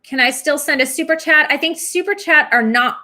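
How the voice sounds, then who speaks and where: A woman in her forties hosting a live Q&A from a small room, chatty and animated as she answers viewers, her voice a bit worn. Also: high-pitched, fairly quick. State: losing her voice. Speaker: livestream host and twin flame reader.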